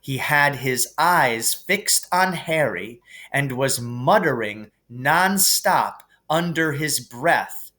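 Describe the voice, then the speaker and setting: Slow-reading male storybook narrator. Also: medium pitch, expressive, sharp tone. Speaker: reader.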